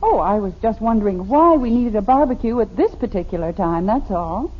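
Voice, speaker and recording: An actress playing a sensible middle-aged American housewife and mother, wry and gently needling, in 1950s radio sitcom style. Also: mid-range voice, animated, a little fast, natural voice. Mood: dry, skeptical. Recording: noisy, dull old radio recording.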